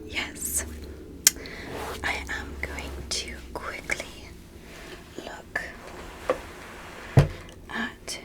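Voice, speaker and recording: A woman voicing a gentle alien doctor in an ASMR roleplay, speaking slowly and soothingly in a soft, breathy whisper. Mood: soothing, attentive. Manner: slow, deliberate, soothing, polite. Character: gentle, reassuring alien doctor giving a medical exam. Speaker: medical examiner.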